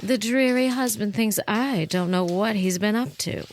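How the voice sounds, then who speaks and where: An actress playing a cold, scheming femme fatale wife, languid and mocking, in a noir-style audio drama. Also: female voice, medium pitch, normal speed, slight background noise, natural voice. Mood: sly, contemptuous.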